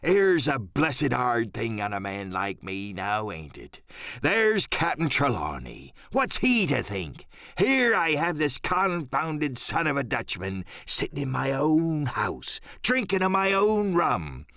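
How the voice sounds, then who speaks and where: A man's voice: medium pitch, huge pitch swings, slow pace, sharp tone, no echo, studio quality, full character voice. A man voicing a hearty, cunning old pirate sea cook in an audiobook, talking in folksy, salty seafaring slang. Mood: earnest, aggrieved. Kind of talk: audiobook radio-play character acting.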